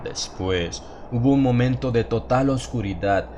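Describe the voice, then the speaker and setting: A man's voice: mid-range voice, unhurried, dark tone, a little hiss or hum. A man narrating a dramatized horror audiobook as a solemn, brooding first-person storyteller. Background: music behind the voice.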